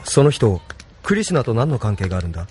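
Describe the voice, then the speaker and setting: An actor playing an idealistic, troubled young rebel leader in an anime audio drama, intense and questioning. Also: male voice, lowish voice, big pitch swings, quick pace, a little mellow, a little hiss or hum. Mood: puzzled.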